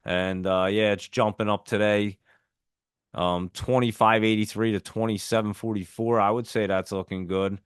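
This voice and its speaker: Low smooth-voiced Jersey guy. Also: fairly even intonation, normal speed, dry recording, clean studio sound. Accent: New Jersey. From New Jersey. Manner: chatty, reads viewer messages and ticker symbols. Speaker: stock trading livestream host. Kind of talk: casual live market chat.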